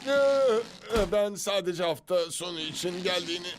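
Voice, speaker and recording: High-pitched exasperated cartoon family man. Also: expressive, unhurried, slight background noise. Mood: uneasy. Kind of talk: animated children's cartoon dub.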